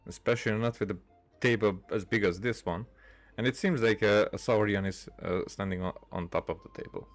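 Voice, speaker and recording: A man giving casual let's-play commentary, musing aloud in a halting, hesitant way. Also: lowish voice, fairly even intonation. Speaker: gaming video host.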